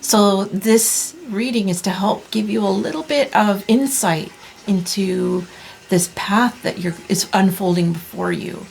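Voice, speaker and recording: A woman in her thirties giving a tarot reading in a soft, reassuring way, clearing her throat as she loses her voice. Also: medium pitch, a little hiss or hum, poor audio. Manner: soft, slow, reassuring delivery with many restarts. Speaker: tarot reader. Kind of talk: pick-a-card tarot reading.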